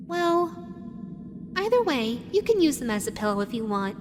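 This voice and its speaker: A woman's voice: sits high, very animated, moderate pace, noisy background. A woman voicing a sweet, teasing female animatronic fox made for children, in an intimate roleplay audio addressed to the listener. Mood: playful, coaxing. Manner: playful cooing, drifting into wistful musing. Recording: long reverberant hall echo. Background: music.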